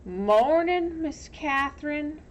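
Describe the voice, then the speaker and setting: A woman voicing a drunken, lecherous male small-town sheriff with a slow, smug drawl in a storybook reading. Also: sits high, lively intonation, muffled recording, a little hiss or hum, voice pushed up. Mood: smug, patronising.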